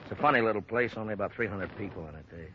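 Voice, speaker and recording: A man's voice: on the low side, racing, lightly acted. An actor playing a plain-spoken small-town Western sheriff in a 1950s radio drama, blunt and folksy in his country way of talking. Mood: frank. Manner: folksy, blunt, drawn-to-the-point country speech. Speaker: actor in supporting part. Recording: muffled, noisy old radio broadcast.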